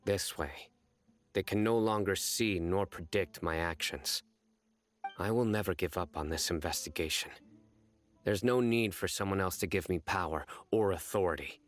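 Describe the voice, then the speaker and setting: Deep-voiced solemn exiled judge played by a man. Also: faint music behind, lightly acted. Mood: resolute. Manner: formal, grave, deliberate. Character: stern, principled exiled judge, solemn male enforcer. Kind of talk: anime game voice acting.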